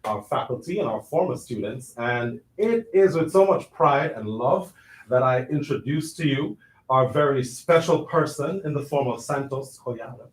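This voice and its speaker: A man's voice: mid-range voice, expressive, normal speed. A man hosting a live theater event, giving a formal introduction to an audience. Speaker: host introducing the playwright. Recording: echoey room with background noise.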